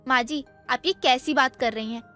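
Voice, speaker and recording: A woman's voice: sits high, normal speed, bright, forceful tone, faint music behind. A woman playing a young daughter-in-law in a dramatized moral story, dutiful yet defensive, with an earnest, explanatory way of talking. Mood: defensive, indignant.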